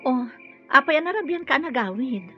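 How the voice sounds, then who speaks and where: An actress with a Filipino accent playing a concerned, inquisitive wife and loyal friend in a radio drama. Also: female voice, sits high, expressive, moderate pace, pushed and bright, dull audio, faint music behind. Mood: worried.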